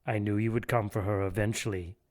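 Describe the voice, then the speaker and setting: An actor playing a gloating, condescending villain bishop in an audio drama, with a pompous, taunting delivery. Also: male voice, fairly low pitch, fairly even intonation, fairly quick, close to an everyday voice. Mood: taunting, smug. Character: gloating male villain bishop plotting apocalyptic sacrifice.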